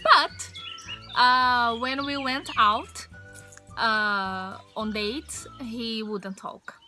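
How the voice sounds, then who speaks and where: Young brazilian woman, portuguese accent, bright voice, unhurried talk, light music behind. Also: fairly high pitch. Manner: chatty, fillers and hesitations. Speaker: vlogger. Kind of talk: casual chat to camera. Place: outdoor patio by a wooden fence.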